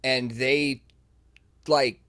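A man's voice: mid-range voice, steady tone, extremely slow, a bit bright. A man in his thirties hosting a podcast, talking casually with lots of fillers and restarts. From United States. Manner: chatty, rambling, many fillers and restarts. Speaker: podcast host. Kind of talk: casual conversational interview.

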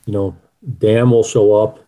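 A man's voice: lowish voice, flat delivery, a little slow, mellow. A middle-aged man speaking as an author being interviewed, answering in a conversational way.

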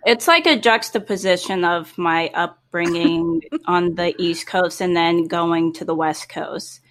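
A woman's voice: on the low side, unhurried, a bit bright. A woman in her twenties, from Boston, co-hosting a podcast in casual chat and laughing often. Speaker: podcast co-host.

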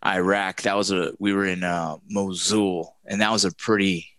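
Bright-voiced man hosting an interview. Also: deep voice, flat delivery, normal speed, no echo. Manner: conversational, repeats words when asking questions. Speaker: podcast host, army veteran. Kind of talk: casual interview conversation.

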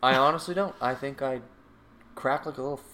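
A man's voice: mid-range voice, a little fast, pushed and bright. A man of twenty-four bantering casually and self-deprecatingly as a podcast host, swearing freely, his voice prone to cracking. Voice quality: voice cracks now and then. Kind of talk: casual profane banter with a friend. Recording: echoey room with faint background noise.

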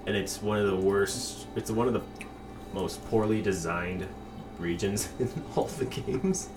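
Young man hosting a podcast, very low voice, sits back from the mic, noisy room. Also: measured pace. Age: 20s. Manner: talkative, explains at length, laughs often. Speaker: podcast host. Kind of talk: casual podcast chat. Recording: distant mic with clear background noise.

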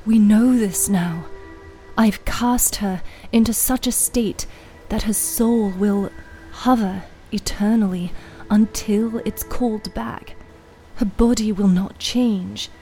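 A woman voicing a grave, sorrowful female soul shepherd in fantasy audio fiction, in a hushed voice. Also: medium pitch, slow, faint music behind, rough recording. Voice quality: hushed, breathy at times.

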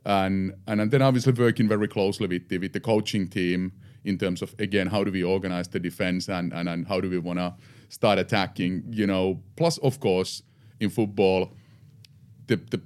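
A middle-aged man with a Finnish accent and a very low voice speaks English as a guest on a podcast interview. He talks reflectively, repeating words and restarting as he goes. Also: fairly even intonation, moderate pace, darkish tone. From Finland.

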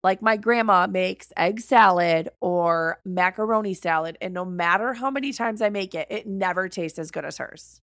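A woman's voice: lowish voice, moderate pace, hardly any pauses, fairly bright tone, no echo, studio quality. An American woman co-hosting a podcast in casual chat, with a blunt, teasing, slangy way of talking. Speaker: podcast co-host.

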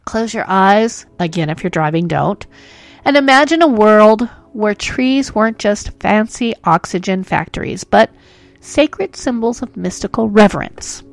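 A woman's voice: mid-range voice, expressive, a little slow, gravelly, faint music behind. A woman hosting a podcast who narrates history in a playful, self-mocking way full of jokes. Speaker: podcast host.

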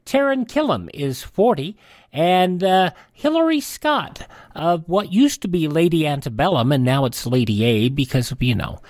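Male American radio host, expressive rise and fall, folksy presenting, very dry close-miked studio sound. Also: a little high, moderate pace, no echo. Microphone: close studio mic.

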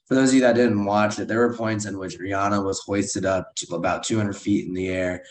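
Low, slightly hoarse American man, roomy sound. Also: fairly even intonation, brisk. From United States. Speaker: co-host of a film and TV review show. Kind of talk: upbeat podcast chat. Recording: roomy echo.

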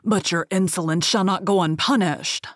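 A woman's voice: lowish voice, normal speed, no echo, clean studio sound, lightly acted. A woman reading as a stern, furious male beast. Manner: loud, stern, commanding.

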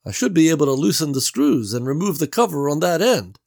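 A man's voice: a little high, very animated, brisk, gravelly, darkish tone, pitched above the performer's own voice, full character voice. A man voicing Gary, a nervous, eager young man trapped in an air vent, who talks earnestly and runs himself down. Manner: earnest, anxious, self-deprecating.

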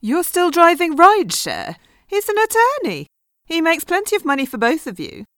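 A woman's voice: very high voice, huge pitch swings, moderate pace, fairly bright tone, dry recording, clean studio sound, heavily acted. A woman acting a brash, nosy, self-loving gossip of a friend in an audio comedy drama.

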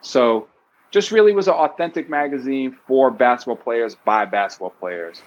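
Animated fifty-something man telling stories. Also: normal speed, dull audio. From New York City. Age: 50s. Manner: animated storyteller, bursts of pitch when excited. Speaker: guest author. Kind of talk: casual interview conversation.

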